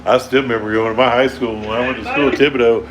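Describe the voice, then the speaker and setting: A man's voice: medium pitch, fast talker, a crowd in the background. A man with an Oklahoma drawl chatting casually with livestream viewers from a towboat, rambling and chuckling often. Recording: steady air conditioner hum behind.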